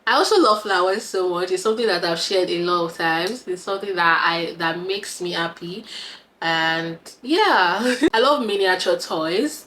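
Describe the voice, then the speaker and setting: A woman's voice: lowish voice, fairly bright tone, a little hiss or hum. A Nigerian woman in her twenties, chatting to camera as a vlogger in a giggly, talkative way. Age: 20s. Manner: chatty and giggly, with frequent laughs. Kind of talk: casual talk to camera.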